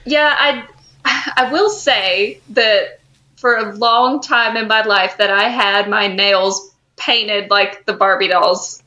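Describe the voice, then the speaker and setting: A woman co-hosting a podcast, chatting casually about a TV show with halting, hesitant phrasing. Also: mid-range voice, bright, forceful tone, low-quality recording. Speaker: podcast co-host.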